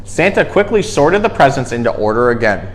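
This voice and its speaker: A man reading a children's storybook aloud in an expressive way, with dramatic emphasis. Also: mid-range voice, brisk. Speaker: storyteller and zookeeper host.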